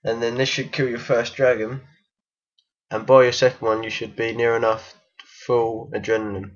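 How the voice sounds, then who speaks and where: A man with a croaky voice from being unwell gives a casual, hesitant game tutorial voice-over. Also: medium pitch, level tone, normal speed, dull audio. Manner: hesitant, many ums and restarts. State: croaky from illness. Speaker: game guide maker.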